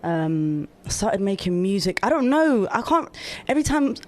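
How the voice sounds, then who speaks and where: Easygoing young woman. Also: medium pitch. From Colombia, raised in London. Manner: easygoing, animated, quick restarts. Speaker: singer-songwriter guest. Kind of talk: casual interview chat.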